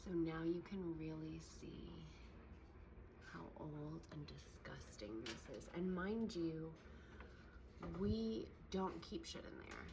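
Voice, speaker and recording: Low-voiced, hushed woman on a noisy clip. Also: takes it slowly. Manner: hushed, often drops to a whisper. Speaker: vlogger. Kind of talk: casual handheld video tour. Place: indoors at home, in a kitchen.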